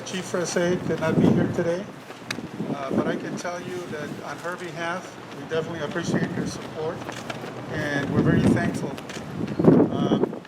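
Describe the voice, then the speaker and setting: Halting police spokesman at a windy rally. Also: on the high side. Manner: halting, many uh fillers and restarts. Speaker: police public information officer. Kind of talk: public statement at a rally. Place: outdoor courtyard rally. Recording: distant mic with loud wind noise outdoors.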